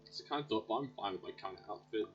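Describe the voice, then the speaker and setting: A man's voice: on the low side, rapid-fire, soft background music. A man casually playing along with a video game and voicing replies, on a muffled lo-fi mic.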